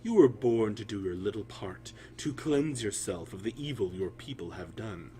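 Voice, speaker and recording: A cruel fantasy overseer, acted out by a male storyteller, low and whispery. He speaks slowly and emphatically, with sinister menace in the breathy delivery. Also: lively intonation, warm tone, close to an everyday voice. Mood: menacing, sinister. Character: cruel sinister overseer taunting his golem slave. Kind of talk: dramatic story reading.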